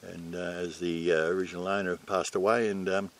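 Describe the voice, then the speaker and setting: An older man with an Australian accent and a low voice talks casually outdoors. He answers interview questions plainly, wandering and pausing as he pieces his sentences together. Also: flat delivery. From New South Wales, Australia. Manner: rambling, halting, plain-spoken. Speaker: retreat owner being interviewed. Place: outdoors at a garden table in bushland.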